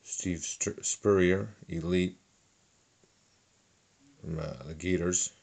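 A middle-aged man calmly listing sports cards one by one at a table, with a lot of fillers. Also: sits low, slow, gravelly, breathy edge. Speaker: card collector. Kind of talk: casual card unboxing narration. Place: indoors at a table.

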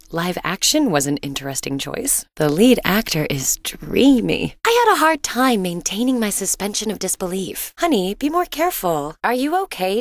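An actress playing a loving, teasing suburban wife and mother in a video game, expressive and gently exasperated. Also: mid-range voice, no room sound. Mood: wry, then concerned, chiding. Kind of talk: cartoonish game dialogue, affectionate chiding.

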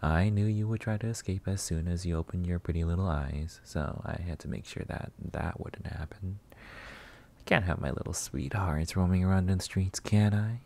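A man playing a flirty, possessive, delusional vampire master in a roleplay ASMR monologue, teasing and theatrical with drawn-out words. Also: low voice, creaky, a little mellow. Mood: smug teasing.

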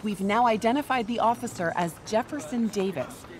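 A woman's voice: fairly high pitch, normal speed, natural voice. A woman playing a formal, matter-of-fact news reporter in a video game. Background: background music.

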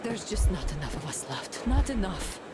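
An actress playing a frail, desperate young woman in a video game, halting and tender. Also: big pitch swings. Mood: pleading, weak. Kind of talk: dramatic video game acting. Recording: loud background rumble.

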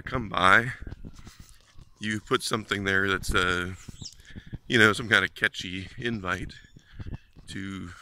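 A man's voice: fairly low pitch, pushed and bright, a little hiss or hum. A man, telling stories in a casual, earnest way as he walks and films himself outdoors on a suburban street. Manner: conversational, earnest, storytelling. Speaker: ministry vlogger. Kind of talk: casual walking vlog. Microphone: phone at arm's length while walking.

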